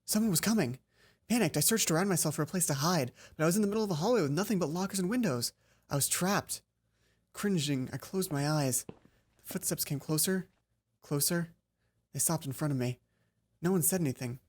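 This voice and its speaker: Husky-voiced let's player reading a visual novel. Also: medium pitch, animated, fairly quick. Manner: stumbles over the text and rereads lines. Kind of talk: reading visual novel narration aloud with asides. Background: soft game music.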